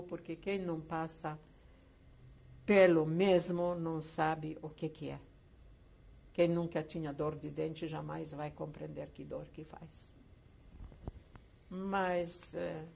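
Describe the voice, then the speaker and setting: Older woman, low voice, gravelly, hesitant storytelling, faint hiss. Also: dark tone. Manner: slow reflective storytelling with hesitant fillers. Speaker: Holocaust survivor interviewee. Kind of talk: oral history interview.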